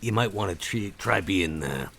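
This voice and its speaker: Low gravelly vampire enforcer. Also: male voice, animated, normal speed, a bit bright, heavily acted. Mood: smug. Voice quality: gravelly, raspy. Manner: wry, folksy old-fashioned slang. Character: sly gravel-voiced vampire enforcer, wryly taunting, man.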